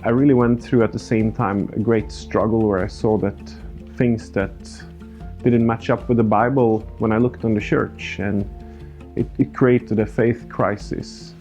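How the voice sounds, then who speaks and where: Mellow Swedish-accented man in his thirties. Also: on the low side, steady tone, measured pace, soft background music. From Sweden, countryside. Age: 30s. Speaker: pastor and church planter. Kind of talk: personal testimony in a documentary interview.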